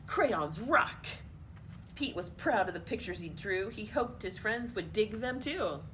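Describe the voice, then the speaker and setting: A woman hosting a children's storytime, reading a picture book aloud in an expressive, playful way. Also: mid-range voice, a little slow, slight background noise, poor audio. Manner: animated, expressive, playful asides. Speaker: children's storytime host at a library.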